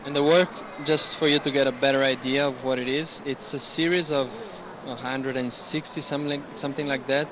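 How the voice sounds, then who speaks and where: A man giving an informal, explanatory talk on artworks as a guide, pausing to search for words. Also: mid-range voice, fairly even intonation. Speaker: curator guiding an art fair tour. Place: large indoor art fair hall. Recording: clear background noise, busy fair hall.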